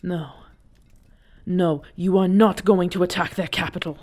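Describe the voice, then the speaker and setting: A woman's voice: on the low side, fairly even intonation, unhurried, darkish tone. A woman playing a defiant, principled dragon princess in an audio drama, speaking formally and with earnest emphasis. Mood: defiant, heated. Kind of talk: dramatic audio roleplay acting.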